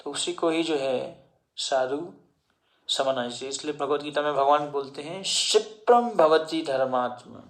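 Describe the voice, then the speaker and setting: A man giving a devotional discourse in Hindi, slowly explaining scripture verses and mixing in English words. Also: fairly high pitch. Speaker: devotional speaker.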